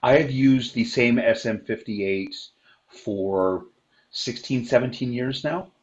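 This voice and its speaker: An older man sharing practical performing advice on an online convention panel, loud-voiced, with many fillers and restarts. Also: mid-range voice, slow pace, highs cut off, a crowd in the background.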